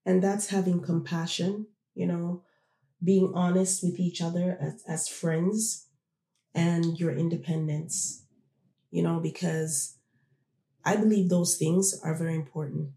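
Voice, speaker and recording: A podcast host born in Jamaica and raised in Toronto, musing in a loose, conversational way and often turning to the audience with questions. The voice is gravelly and mellow. It sounds like a roomy space with noticeable echo. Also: medium pitch, flat delivery, measured pace. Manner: reflective, conversational, addresses listeners directly. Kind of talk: casual solo podcast talk.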